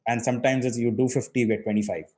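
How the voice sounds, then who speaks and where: A man's voice: medium pitch, steady tone, racing, mellow. A man hosting a podcast, asking casual, curious questions. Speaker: podcast host. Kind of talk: casual interview chat.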